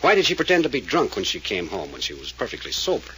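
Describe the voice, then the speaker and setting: An actor playing a blunt, insistent private investigator in a 1940s radio drama, speaking in a firm, probing way. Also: male voice, fairly low pitch, huge pitch swings, fast, pushed and bright, heavily acted. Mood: pressing, grave. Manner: firm, probing, matter-of-fact. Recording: noisy vintage radio recording.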